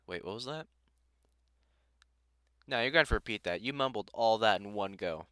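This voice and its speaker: A man playing a gruff older vampire hunter in a roleplay audio drama, a fond, teasing mentor with dry sarcasm who grows halting when sentimental. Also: lowish voice, lively intonation, fairly bright tone, dry recording. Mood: gently supportive.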